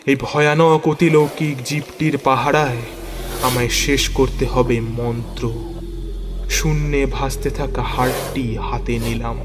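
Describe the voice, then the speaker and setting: A man narrating a first-person horror story theatrically, with halting, suspenseful pauses. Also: mid-range voice, steady tone, noisy background. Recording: long reverb, music bed underneath. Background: background music.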